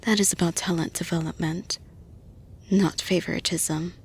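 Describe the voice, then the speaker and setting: A woman playing a caring, persuasive boss in an intimate audio roleplay, soft-spoken and breathy, often dropping to a whisper, deliberate and reassuring. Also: lowish voice, fairly even intonation. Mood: soothing, reassuring. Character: caring, persuasive female boss offering support to employee.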